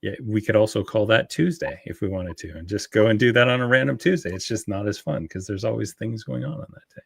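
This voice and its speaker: Gravelly, husky man on a panel. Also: on the low side, fast, few pauses, no room sound, studio quality. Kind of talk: casual panel discussion.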